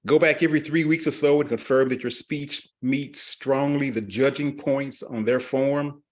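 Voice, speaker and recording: An older man on a video call giving speaking tips in a slow, halting way, often repeating words. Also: medium pitch, steady tone. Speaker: meeting member giving advice. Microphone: headset.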